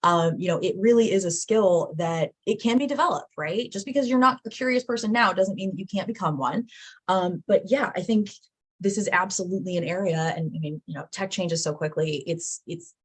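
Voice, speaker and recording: A woman's voice: lowish voice, a little fast, slightly rough. A woman from the United States hosting a casual interview, chatty and full of "you know" and "like". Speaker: host.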